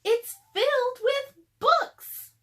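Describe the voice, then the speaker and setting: A woman voicing an excited, bold seven-year-old girl who calls out in exclamations, from a children's storybook. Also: very high voice, very slow, recorded from a distance, voice pushed up, full character voice. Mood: eager shouting. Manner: shouting, exclamatory.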